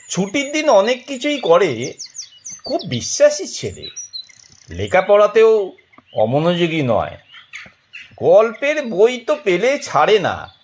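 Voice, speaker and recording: Slow, expressive male storyteller. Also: on the high side, highs cut off, a little hiss or hum. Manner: measured, expressive. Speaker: storyteller and narrator. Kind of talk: dramatic scripted story narration.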